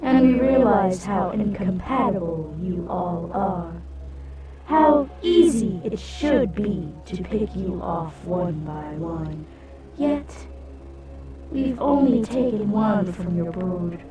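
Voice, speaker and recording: A ravenous, dying creature voiced by a woman speaks slowly and deliberately, as one voice for many. It is menacing and ominous, set back from the mic under loud music in an audio drama. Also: mid-range voice, lively intonation, far from the mic, rough recording. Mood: menacing, grieving.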